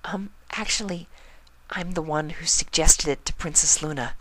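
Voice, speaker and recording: A storyteller voicing a shy, gentle, anxious female pegasus pony with a soft, whispery, hesitant voice. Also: mid-range voice, normal speed, pitched above the performer's own voice, lightly acted, low-quality recording. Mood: timid, gentle. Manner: hesitant, timid.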